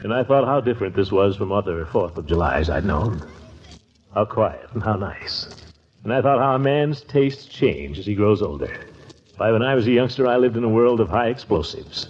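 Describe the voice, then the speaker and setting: A man's voice: fairly low pitch, animated, moderate pace, a little mellow, natural voice. Man acting a blustering uncle in old muffled radio comedy. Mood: nostalgic. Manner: theatrical, blustering, chuckling. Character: pompous, easily flustered middle-aged uncle. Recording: muffled vintage 1940s radio broadcast.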